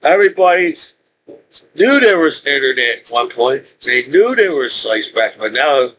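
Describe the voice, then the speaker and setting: A man in his forties speaking in a rambling, halting monologue with frequent restarts and laughs. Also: mid-range voice, very animated, fairly bright tone, a little hiss or hum, poor audio. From United States. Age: 40s. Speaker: YouTuber.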